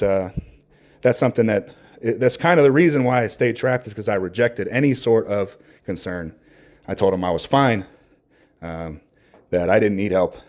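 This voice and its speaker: A man talking candidly and off the cuff in a reflective, earnest monologue, halting as he searches for words and swearing casually. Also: fairly low pitch, a little mellow. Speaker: podcast host.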